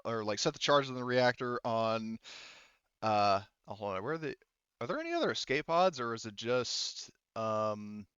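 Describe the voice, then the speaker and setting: A man acting as game master for a casual tabletop roleplaying game, halting and thinking aloud as he explains rulings. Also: lowish voice, fairly bright tone, clear, resonant tone, no echo, highs cut off.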